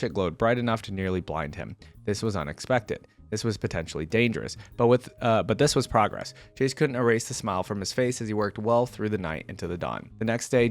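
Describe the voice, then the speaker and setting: A man reading a story aloud as a reaction creator, breaking into informal, casual commentary. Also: fairly low pitch. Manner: informal, filler-heavy asides between read passages.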